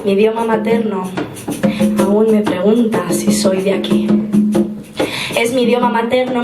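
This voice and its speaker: A young woman in her twenties from Spain, of Moroccan family, performing spoken word poetry in a slow, rhythmic, deliberate way on a live stage. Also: on the high side. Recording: roomy live room, distant mic, loud music. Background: loud instrumental music.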